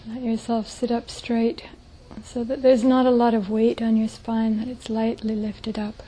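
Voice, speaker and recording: Woman teaching meditation, super mellow and breathy, really slow w long gentle pauses. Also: on the high side, little pitch movement, a little hiss or hum, low-quality recording. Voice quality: soft, breathy. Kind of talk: guided meditation.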